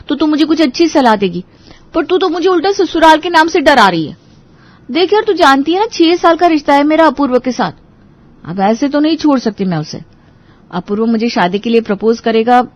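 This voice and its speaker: A woman's voice: high voice, dry recording, natural voice. A woman playing an anxious, earnest young bride-to-be in a Hindi audio story drama.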